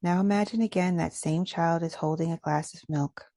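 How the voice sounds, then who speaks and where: A woman leading a guided meditation in a gentle, measured, instructional way. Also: lowish voice, no room sound.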